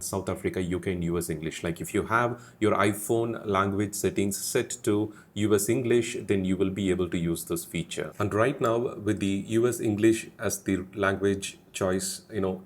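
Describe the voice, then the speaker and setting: A man hosting a tech explainer, talking to viewers in a measured, explanatory way and stressing his key points. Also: lowish voice. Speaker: tech video host.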